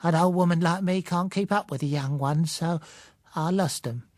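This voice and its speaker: Audiobook character: elderly working-class London woman, played by a man, raised strained pitch, plaintive, colloquial, halting delivery. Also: darkish tone, no room sound, studio quality, close to an everyday voice. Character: huddled old blind woman, wary, plaintive, uneducated.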